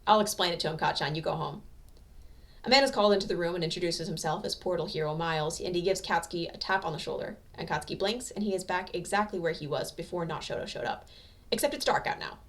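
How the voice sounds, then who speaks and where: A woman's voice: fairly low pitch, quick pace. A woman narrating fan fiction as an amateur audiobook reader, in a scripted storytelling style.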